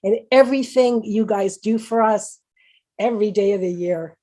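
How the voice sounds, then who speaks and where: Woman, early sixties, Canadian accent, medium pitch, candid, informal, conversational presentation. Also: moderate pace, mellow. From Canada, raised in Hamilton, Ontario. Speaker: patient speaker at a conference.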